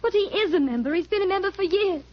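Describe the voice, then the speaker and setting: An actress playing an anxious, pleading young sweetheart in a 1940s radio drama, earnest and emotional. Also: female voice, very high-pitched, expressive, fast. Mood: distressed, pleading. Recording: dull 1940s radio recording.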